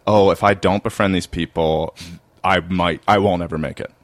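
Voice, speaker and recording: A man with a Baltimore, Maryland accent, telling rambling, animated stories as a guest on a comedy podcast. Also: low voice, moderate pace, no echo. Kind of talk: casual storytelling.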